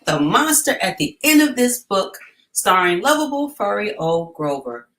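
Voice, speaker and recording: A woman's voice: medium pitch, big pitch swings, moderate pace, fairly bright tone, low-quality recording. A woman hosting a storytime, reading aloud and chatting informally and encouragingly to families. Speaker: storytime host.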